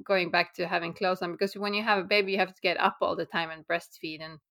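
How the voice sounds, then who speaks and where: A woman's voice: on the low side, steady tone, quick pace, fairly bright tone. Teasing, blunt woman podcast host. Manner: blunt, teasing, matter-of-fact. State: pregnant. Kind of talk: casual chat.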